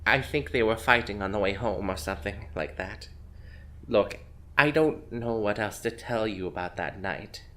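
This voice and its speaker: A man's voice: fairly low pitch, moderate pace, fairly bright tone, lightly acted. A man playing a chatty, evasive middle-aged grandmother in an audio drama, speaking hesitantly and conversationally. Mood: evasive, casual.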